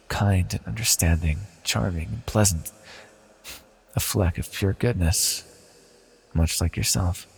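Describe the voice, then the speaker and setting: A man playing an ancient banished villain deity in a fantasy audio roleplay, proud yet wistful and tender, speaking in a formal, measured, confessional way. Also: deep voice, creaky, a little mellow, slightly rough, exaggerated voice. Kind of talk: scripted fantasy audio roleplay, intimate monologue. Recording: long reverberant hall echo.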